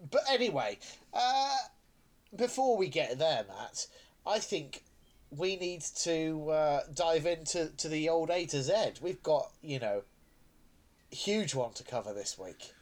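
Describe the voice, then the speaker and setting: A man's voice: fairly high pitch, expressive, takes it slowly. Hesitant, chatty male podcast host. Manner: hesitant, lots of restarts and fillers, laughs easily. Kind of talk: casual podcast chat.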